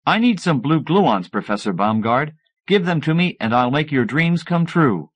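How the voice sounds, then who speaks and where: A man voicing an excitable, pushy male mad-scientist inventor with an emphatic, agitated delivery in an audiobook. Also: a little high, moderate pace, pitched above the performer's own voice. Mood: pushy, threatening. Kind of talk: acted audiobook dialogue.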